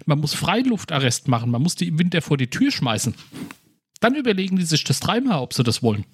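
Male co-host, 30s to 40s, husky, fairly high voice, dry humour, casual podcast banter. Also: very animated, fast talker, no room sound. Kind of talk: casual humorous podcast chat.

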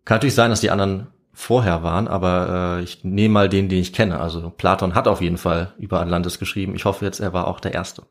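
A man's voice: sits low, fairly even intonation, fast, few pauses. A man hosting a podcast in a casual, chatty style. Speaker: podcast host.

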